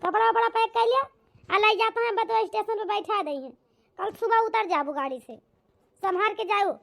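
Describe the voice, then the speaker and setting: A scolding, ungrateful cartoon daughter played by a woman, bossy and full of contempt. Her pitched-up voice is extremely high and piercingly bright. She snaps in an Awadhi accent. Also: moderate pace, gravelly, exaggerated voice. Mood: scolding, dismissive. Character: Poonam, harsh, scolding, ungrateful grown daughter. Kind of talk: amateur cartoon comedy-drama acting.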